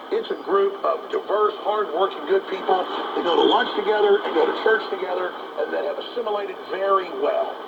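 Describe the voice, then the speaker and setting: A man's voice: high-pitched, gravelly. A man hosting a talk show, delivering an indignant, emphatic political commentary on a muffled, noisy shortwave radio broadcast.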